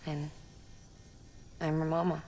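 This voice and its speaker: A woman playing a calm, wry young mother and scientist in a video game, soft-spoken and reassuring. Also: deep voice, takes it slowly, a little hiss or hum. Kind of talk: video game cutscene acting.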